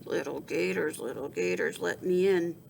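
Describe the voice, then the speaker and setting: A woman voicing a big, hungry male boar villain from a storybook, raspy and snorting, chanting drawn-out threats. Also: lowish voice, steady tone, darkish tone, slight background noise, voice pushed down. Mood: hungry, menacing. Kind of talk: storybook read-aloud.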